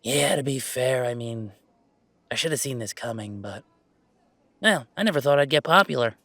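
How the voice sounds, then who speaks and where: A man playing a laid-back, self-deprecating young gamer in a roleplay audio drama, chatting in a halting, playfully exasperated way. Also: medium pitch, lively intonation, sharp tone, no echo. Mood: casual, reflective. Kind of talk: roleplay audio drama, casual one-sided conversation.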